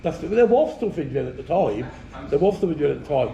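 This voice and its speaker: A man's voice: fairly high pitch, very animated, fast talker, gravelly, warm tone, echoey room, lo-fi sound. A man from the English Midlands hosting a casual chat, teasing his friends bluntly and laughing often. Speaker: channel host. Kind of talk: joking banter among friends.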